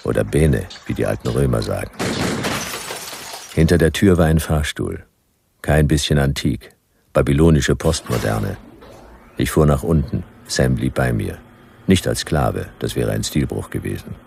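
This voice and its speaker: Very deep, laconic detective narrator. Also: male voice, expressive, a little slow, gravelly, darkish tone, a little hiss or hum, exaggerated voice. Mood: dry, wry. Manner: laconic, dry, clipped sentences. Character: laconic hard-boiled male private detective, wryly narrating. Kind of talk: noir radio play first-person narration.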